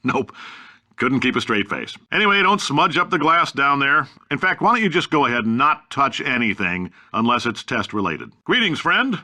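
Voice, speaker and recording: A man's voice: medium pitch, sing-song, moderate pace, bright, forceful tone, heavily acted. A man playing a brash, blustering middle-aged American science tycoon in a video game, delivering announcements with folksy, cocky, blunt salesman's patter. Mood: brash, dismissive, then pompous, salesmanlike.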